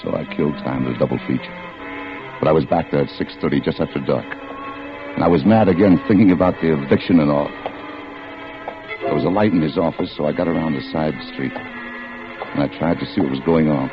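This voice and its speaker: Vintage radio drama: bitter, slangy American husband, down on his luck and tough-talking, deep voice, muffled recording. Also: fairly quick, long pauses, over music. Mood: brooding, confiding. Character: bitter, defensive out-of-work husband telling his own crime story. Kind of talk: 1940s-50s American radio acting, hardboiled first-person narration.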